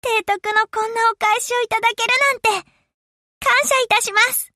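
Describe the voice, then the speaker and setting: Bright, very high anime girl. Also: steady tone, normal speed, dry recording, clean studio sound. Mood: shy, happy. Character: young warship girls, earnest, girlish and grateful. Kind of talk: Japanese anime game voice acting.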